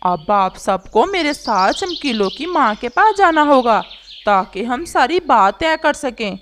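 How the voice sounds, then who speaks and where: A woman's voice: high voice, sing-song, a little slow, a bit bright, heavily acted. A woman voicing a whiny, scheming, lovesick male crow in a children's cartoon, with a pleading, complaining manner.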